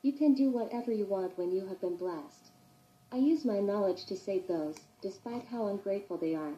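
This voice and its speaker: A woman playing a sinister, self-righteous caretaker in a horror monologue, preachy and measured, in a muffled echoey recording. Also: mid-range voice, warm tone, lightly acted.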